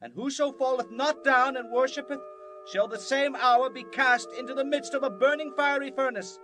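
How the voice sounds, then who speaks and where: An actor playing a royal herald in a dramatized scripture reading, crying out a proclamation. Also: male voice, very high voice, normal speed, music in the background. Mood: commanding.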